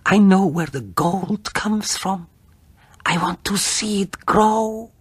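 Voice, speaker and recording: A fragile young wife from a gothic horror tale, acted by a male reader. The voice is raised and breathy, often half-whispered, with a trembling, melodramatic edge and an eerie, haunted air. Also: high-pitched, slow pace, no room sound, voice pushed up, exaggerated voice. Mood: eerie, dreamy whisper. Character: terrified, fragile young wife turned eerily dreamy.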